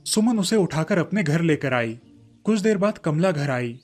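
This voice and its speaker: A man's voice: a little high, expressive, normal speed. A man narrating a scripted children's story as a storyteller. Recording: faint music under the voice. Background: soft background music.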